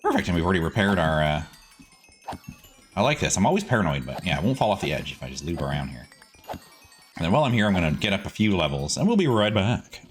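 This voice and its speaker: Animated man chatting through gameplay. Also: low voice, quick pace, faint music behind. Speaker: host, streaming gamer. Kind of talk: casual gameplay commentary.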